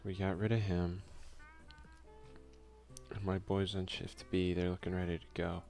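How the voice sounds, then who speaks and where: A man softly giving casual ASMR let's play commentary, muttering offhand asides and reading game text aloud. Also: deep voice, flat delivery, a little slow, vocal fry, soft background music. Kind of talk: ASMR gaming commentary, soft-spoken and casual.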